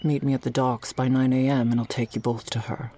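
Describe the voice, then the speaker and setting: Woman playing a husky, world-weary orca girl. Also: extremely low pitch, little pitch movement, fairly quick, dark tone. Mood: somber. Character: earnest, world-weary orca girl, ex freak show performer. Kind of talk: ASMR audio roleplay acting.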